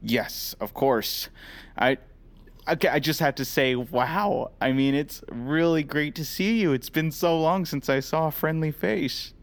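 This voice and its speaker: A man's voice: a little high, a little slow. A man playing a junior agent in an audio drama, earnest and deferential, with a hesitant, stammering, apologetic way of talking. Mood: flustered, friendly. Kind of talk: scripted audio drama acting.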